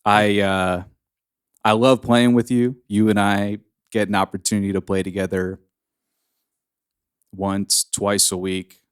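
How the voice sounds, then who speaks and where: A man hosts a casual interview chat. He has a low-pitched voice. He jokes with his guest and often stops and restarts his sentences. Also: level tone, smooth. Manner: friendly, joking, halting. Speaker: podcast host.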